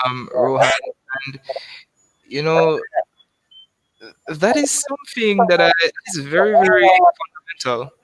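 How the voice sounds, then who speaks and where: A man's voice: a little high, very animated, unhurried, pauses often, a bit bright, no room sound, rough recording. A young man interviewing a guest, talking hesitantly with many fillers. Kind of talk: casual interview.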